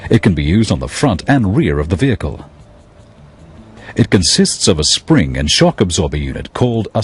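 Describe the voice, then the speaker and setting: A man narrates a technical lesson in a deep, low voice that is unusually smooth and resonant. His delivery is measured and explanatory, and his pitch moves expressively rather than staying flat.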